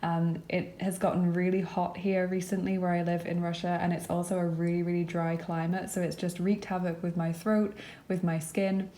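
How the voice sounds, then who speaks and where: A young woman gives a tarot reading at an indoor table, her throat croaky and dry. Her voice is mellow and a little low, held in a flat, even line. She talks softly and reassuringly, with plenty of filler words. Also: moderate pace. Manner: soft, reassuring, many fillers. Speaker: tarot reader. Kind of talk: pick-a-card tarot reading to camera.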